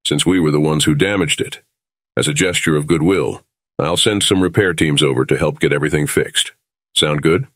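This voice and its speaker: Starship captain, male actor: very low voice, composed, reasonable negotiator. Close, dry sound, audio drama delivery. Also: fairly bright tone, dry recording. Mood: reassuring. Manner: measured, reasonable, conciliatory.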